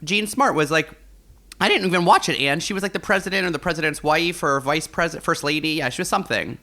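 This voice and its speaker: An American man co-hosting a podcast in a casual, excitable, chatty way, often saying "like". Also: a little high, fast talker. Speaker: podcast co-host.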